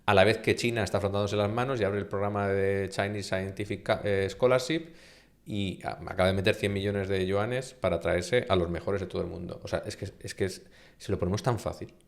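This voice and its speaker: A middle-aged man from Spain with a Castilian accent, a university vice-rector speaking as an interview guest, emphatic and animated, often posing rhetorical questions. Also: low-pitched, a little fast, vocal fry. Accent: Castilian Spanish. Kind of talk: conversational interview.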